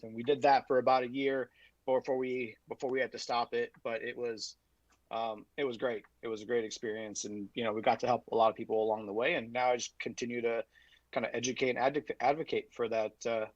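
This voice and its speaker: A man's voice: mid-range voice, no room sound. A man talks through his story as a podcast guest, circling his points with fillers and false starts. The sound is dry and a little muffled, like a remote connection. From Utah, USA. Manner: rambling, many fillers and restarts. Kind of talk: casual interview talk.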